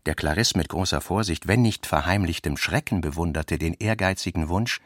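A man narrating a literary radio play in a measured, expressive storytelling manner. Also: low-pitched, no room sound, studio quality.

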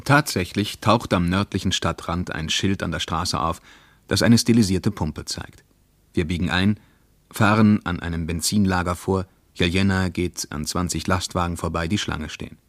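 Man reading his travel diary for a radio play, deep voice, measured and observant, close dry sound. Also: steady tone, dry recording. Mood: reflective.

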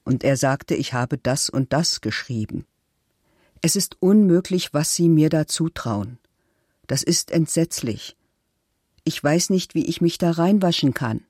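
A woman playing an anguished accused woman who protests her innocence in a deliberate, pleading documentary monologue. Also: low-pitched, warm tone, no echo, clean studio sound, heavily acted. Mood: desperate, pleading.

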